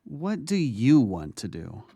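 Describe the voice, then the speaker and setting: A patient audio drama therapist, acted by a man, measured and reflective. His mid-pitched voice has noticeable fry and varied melody as he gently probes, recorded dry. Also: wildly swooping pitch, dry recording, exaggerated voice. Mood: calm, probing. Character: calm, probing male therapist, patient doctor.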